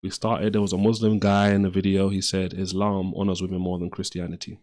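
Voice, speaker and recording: A man's voice: sits low, flat delivery, a little fast, darkish tone. A man hosting a live call-in debate stream, blunt and pressing with his questions, often repeating himself. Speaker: livestream debate host.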